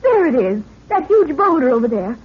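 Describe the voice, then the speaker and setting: Eager young adventuress, high voice. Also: female voice, big pitch swings, moderate pace, slightly rough, exaggerated voice. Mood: thrilled. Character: eager, excitable explorer's daughter. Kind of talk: 1930s radio drama acting. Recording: noisy dull 1930s radio recording.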